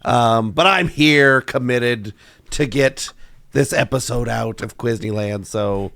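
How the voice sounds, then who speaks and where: A man hosting a casual quiz podcast, his voice hoarse, chatting and thinking aloud with lots of fillers. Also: medium pitch, slow pace, fairly bright tone, no room sound. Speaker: podcast host.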